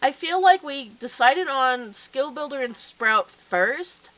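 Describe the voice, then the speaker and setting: A woman's voice: high-pitched, lively intonation, takes it slowly, pushed and bright, poor audio. A woman hosting a casual livestream chat, a bit stuffy and nasal from a cold, talking in a chatty, upbeat way. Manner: chatty, upbeat, lots of fillers. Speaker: livestream host.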